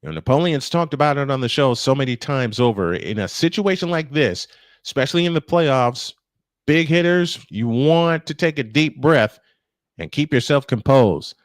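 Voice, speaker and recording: Male sports commentator doing live baseball play-by-play, medium-pitched, dry studio-close sound. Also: lively intonation, normal speed, dry recording.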